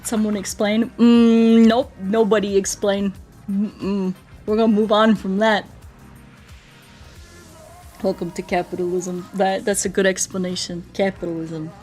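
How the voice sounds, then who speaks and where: A woman's voice: medium pitch, little pitch movement, measured pace, a little mellow, soft background music. A woman livestreamer in casual, playful, rambling chat, answering her viewers aloud as she plays a game.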